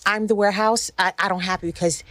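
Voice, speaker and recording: A woman's voice: mid-range voice, steady tone, quick pace, fairly bright tone, no echo. A middle-aged woman chatting casually as a podcast guest, telling career stories with emphatic repetition. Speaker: podcast guest, fashion stylist.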